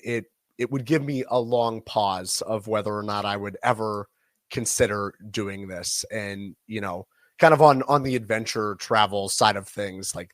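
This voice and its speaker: A man co-hosting a casual news podcast, thinking out loud and hedging. His voice is lowish. It is recorded close and dry, with no room sound. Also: no echo. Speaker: podcast co-host.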